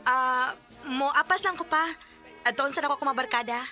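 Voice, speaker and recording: A woman's voice: high-pitched, moderate pace, loud music behind the voice, lightly acted. A woman with a Cebuano Filipino accent acting a sweet, coy young student and dutiful daughter in a radio drama. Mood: cheerful.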